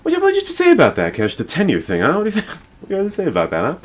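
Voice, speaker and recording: A man's voice: on the high side, huge pitch swings, rapid-fire, slight background noise. A male podcast host ranting in a rambling, profane and emphatic way. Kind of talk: casual podcast rant.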